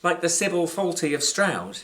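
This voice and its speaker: A British man with a slightly high voice reads literary fiction as its author. His delivery is measured and wry, leaning on dramatic pauses. Emphasis shifts from phrase to phrase. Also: sing-song, a bit bright, rough recording. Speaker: author reading his own short story. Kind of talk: scripted literary storytelling, live reading.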